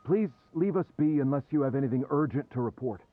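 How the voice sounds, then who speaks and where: A man's voice: medium pitch, animated, fast talker, soft background music. A man playing a guarded, curt doctor in a video game, formal and protective, his voice muffled as if through a door. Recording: thin, muffled band-limited sound.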